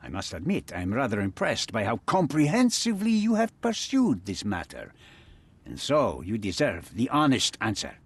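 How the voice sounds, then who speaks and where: A man's voice: medium pitch, huge pitch swings, normal speed, breathy edge, heavily acted. A man voicing a cold, threatening crime boss and smuggler in video game cutscene dialogue. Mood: smug. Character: menacing male crime boss, smuggler and cutthroat.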